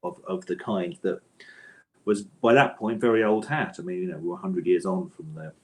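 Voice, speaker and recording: A man's voice: low voice, steady tone, moderate pace, a little mellow. A man answering interview questions conversationally as a guest author, with a thoughtful, hesitant manner and bookish phrasing.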